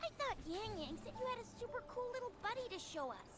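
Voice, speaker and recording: A woman's voice: very high voice, big pitch swings, fast, very noisy. A woman voicing a perky, curious young girl in an action game, playful and inquisitive. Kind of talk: video game voice acting.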